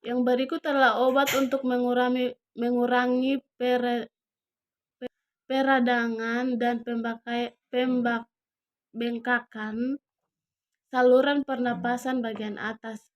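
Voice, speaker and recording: A woman's voice: a little high, flat delivery. A young woman, a nursing student, reading a health lesson and often stumbling and restarting words. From Indonesia. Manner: halting, frequent restarts on words.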